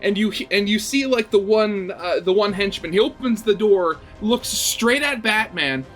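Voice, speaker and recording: A man's voice: sits high, a little slow, fairly bright tone, soft background music. A young man hosting a reaction video, chatting casually and rambling with lots of fillers and restarts.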